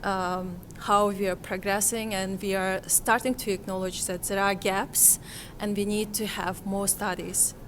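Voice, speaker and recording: A woman speaks slowly in an interview. She is reflective and hesitant, pausing to find her words. A faint noise of the room sits behind her. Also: mid-range voice, little pitch movement, fairly bright tone. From Kazakhstan, Central Asia. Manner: hesitant, halting, searching for words. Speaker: interviewee, conference participant.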